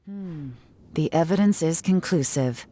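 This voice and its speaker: A poised, confident investigator in a game, with a notably low voice. She speaks calmly and slyly, her threats quiet and controlled. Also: measured pace, slight background noise. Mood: menacing. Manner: calm, sly, quietly menacing. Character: cool, confident female investigator. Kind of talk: game voice acting.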